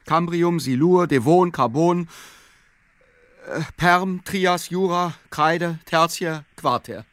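An actor playing a brooding, solitary man who obsessively recites facts and lists in a radio play, deliberate and fragmented with hesitations. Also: fairly high pitch, fairly bright tone, clear, resonant tone, no echo. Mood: searching memory. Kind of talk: literary radio play reading.

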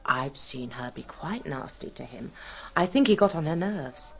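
Confiding English nurse, lowish and lively. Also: female voice, moderate pace, creaky. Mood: gossipy, frank. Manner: confiding, hesitant, then frank. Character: plain-spoken, gossipy but proper woman nurse. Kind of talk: radio drama acting.

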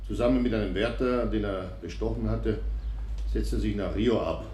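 Low-voiced man testifying in an echoey room. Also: steady tone, moderate pace, mellow. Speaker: witness testifying. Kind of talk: formal testimony. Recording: echoey room with faint noise.

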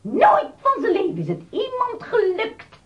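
A woman's voice: very high-pitched, wildly swooping pitch, measured pace, highs cut off, full character voice. An actress playing a menacing old witch in a radio drama, theatrical, swinging from sly sweetness to shrieking. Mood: furious, commanding. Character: menacing old witch Baba Yaga, guarding her landlord's land. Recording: heavy noise behind the voice.

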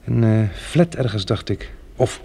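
An actor playing a resolute, practical man surviving a catastrophe in a Dutch radio play, brisk and in command. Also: on the low side, expressive, creaky, mellow, breathy edge, exaggerated voice. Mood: practical, assured.

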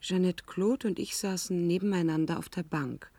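An actress playing a test subject who narrates a radio play in the first person, soberly and precisely. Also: female voice, on the low side, mellow, dry recording, close to an everyday voice. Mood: intent, matter-of-fact. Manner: measured, precise, descriptive. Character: sober female test subject recounting the experiment.

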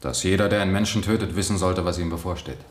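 An actor playing a terse, stubborn police lieutenant testifying in a radio drama, with clipped, guarded answers. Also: male voice, low-pitched, fairly even intonation, quick pace, lightly acted. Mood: terse, firm. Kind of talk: 1970 German radio drama acting. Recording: roomy vintage radio studio sound.

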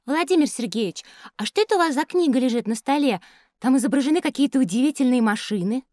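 A woman's voice: high-pitched, expressive, fast, dry recording, clean studio sound. A woman playing a polite, curious little girl pupil in a children's educational audio play. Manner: polite, inquisitive, bright-eyed wonder.

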